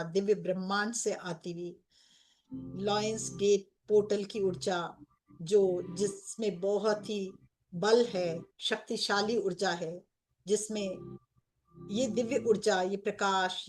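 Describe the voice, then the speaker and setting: A woman with an Indian accent leading a guided meditation as a coach, speaking in gentle, repetitive phrases with pauses. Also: on the low side. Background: background music.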